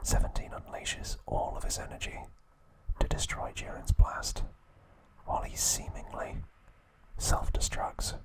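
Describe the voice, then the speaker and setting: Male ASMR narrator, unhurried whisper, soft and steady, relaxed asides. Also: low-quality recording. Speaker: ASMR creator.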